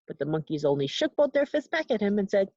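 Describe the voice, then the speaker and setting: Animated woman reading a children's storybook. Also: medium pitch, fast, muffled recording. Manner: animated, asks listeners simple questions and adds asides. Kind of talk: expressive read-aloud storytelling for young children.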